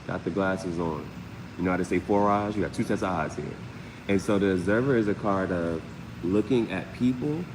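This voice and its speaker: A man giving a casual, off-the-cuff live tarot reading as host, often repeating phrases and peppering his talk with slang and sound words. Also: low voice. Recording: roomy long reverb, clear steady background noise.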